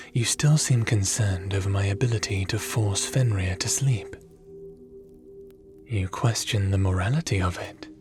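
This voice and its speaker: A man playing a calm, curious, formal god-like spirit in an ASMR audio roleplay, speaking in measured, pensive phrases. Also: fairly low pitch.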